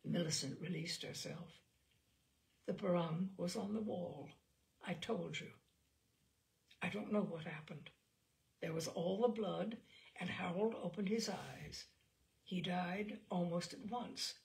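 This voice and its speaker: A woman's voice: on the low side, slow, gravelly, pauses often. A woman performing a tormented, bitter wife making a confession in a dramatic, intense storytelling manner. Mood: dazed. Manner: dramatic, intense, hushed then rising to cries.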